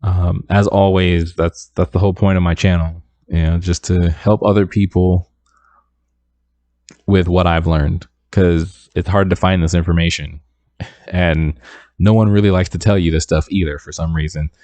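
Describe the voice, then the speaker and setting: A young man with a soft, deep voice, casually giving music career advice to his viewers and often checking in with "right?". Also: normal speed, no room sound. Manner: relaxed, conversational, repeats points with "right?".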